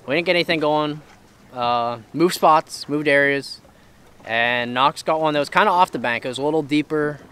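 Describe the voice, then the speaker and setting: A man's voice: on the high side, normal speed, pushed and bright, clean tone. A young man hosting a casual fishing vlog from an open boat on a lake, talking into an action camera in a laid-back, rambling way. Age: young adult. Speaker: fishing vlogger host. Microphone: action camera close to face.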